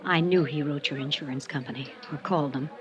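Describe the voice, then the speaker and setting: An American actress playing an uneasy, earnest small-town schoolteacher in a 1950s radio drama, speaking haltingly and with grave sincerity. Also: female voice, sits low, faint music behind, lightly acted. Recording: dull lo-fi vintage radio recording.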